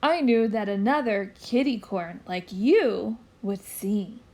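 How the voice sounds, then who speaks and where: A woman reading aloud, voicing a gentle, polite male unicorn who shares secrets in a hushed voice. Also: mid-range voice, slow pace, pitched below the performer's own voice. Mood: secretive. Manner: polite, drops to a whisper for secrets. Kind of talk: storybook character voice.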